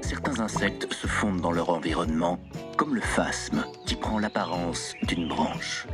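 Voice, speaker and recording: Documentary narrator in a cartoon, male, low and grave, loud music behind. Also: unhurried. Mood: solemn. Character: slow, solemn male television nature presenter.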